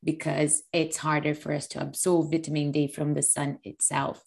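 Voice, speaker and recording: Female podcast co-host, low gravelly voice, slightly fluey, chatty and animated. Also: normal speed. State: a little hoarse with flu. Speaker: online fitness coach. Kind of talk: casual podcast chat.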